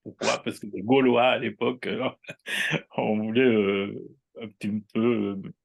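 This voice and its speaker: Older male guest, 60s, low gravelly husky voice, hesitant and unhurried. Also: fairly even intonation. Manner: unhurried, hesitant, many fillers and tag words. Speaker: guest, writer and astrologer. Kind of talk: casual interview chat.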